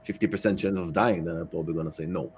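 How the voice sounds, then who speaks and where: A man giving casual interview answers as a podcast guest, halting and matter-of-fact. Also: deep voice, steady tone, a little mellow, a little hiss or hum. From Israel.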